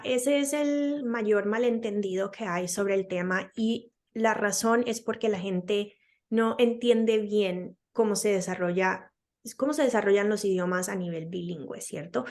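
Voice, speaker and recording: A woman's voice: mid-range voice, a little mellow. Colombian woman explaining patiently. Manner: hesitant, many fillers, explains patiently with examples. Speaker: guest, speech-language pathologist. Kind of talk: explanatory interview talk.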